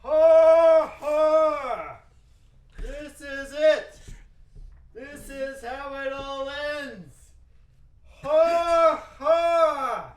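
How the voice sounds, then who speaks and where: A man's voice: very high-pitched, very slow, pauses often, exaggerated voice. A man voicing a gloating devil villain with theatrical taunts in an amateur comedic radio play. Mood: gloating menace. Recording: roomy, far from the mic.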